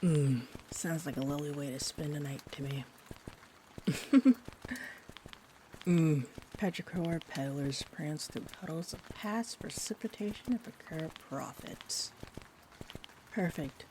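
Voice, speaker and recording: A woman playing a sweet, rambling young-looking vampire bartender in an intimate roleplay audio, speaking gently and hesitantly with whimsical tangents. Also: low-pitched, takes it slowly, a little hiss or hum, low-quality recording. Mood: dreamy, playful. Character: sweet rambling friendly female vampire bartender, looks twenty-four. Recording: steady rain ambience behind the voice. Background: rain.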